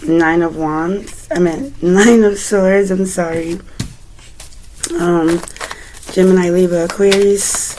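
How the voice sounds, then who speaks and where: A woman with a hoarse, recovering voice talks through a tarot spread at a leisurely pace. Her voice sits a little low and she fills pauses with casual fillers. Card shuffling and steady background noise come through the recording. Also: low-quality recording. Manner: relaxed, unhurried, chatty with many fillers. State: raspy, recovering voice. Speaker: tarot reader. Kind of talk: casual tarot reading to camera.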